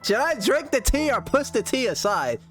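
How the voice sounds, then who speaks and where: A man streaming a game playthrough, casually thinking out loud to his chat in slangy, expressive talk. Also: very high voice, moderate pace, faint music behind. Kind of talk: casual playthrough reaction commentary.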